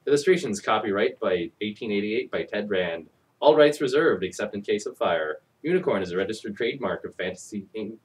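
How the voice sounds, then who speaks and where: A man's voice: on the low side, distant mic, poor audio. A man giving a mock-dramatic storybook reading with sardonic, profane asides in a theatrical delivery. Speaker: comic storybook reader. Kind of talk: mock-dramatic reading with ad-libbed crude commentary.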